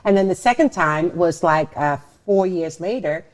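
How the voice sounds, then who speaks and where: Middle-aged woman, halting conversational storyteller. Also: on the low side, expressive. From Suriname and the Netherlands. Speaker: show host and dancer telling their story.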